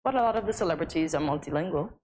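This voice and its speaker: A woman's voice: sits low, lively intonation, very fast, a little mellow, slight background noise, poor audio. A woman co-hosting a morning TV show, chatty and agreeable as she adds her own points. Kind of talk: casual studio chat. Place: TV studio.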